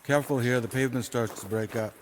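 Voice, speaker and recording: A man's voice: mid-range voice, fast, background noise, close to an everyday voice. A man playing a sardonic, evasive, pragmatic trader in a post-apocalyptic audio drama. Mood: persuading, reluctant.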